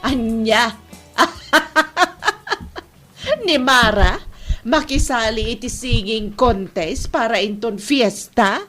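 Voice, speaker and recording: A woman's voice: on the high side, animated, very slow, fairly bright tone, faint music behind, heavily acted. A woman playing a scolding, doubtful mother in an Ilocano comedy drama. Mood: scornful.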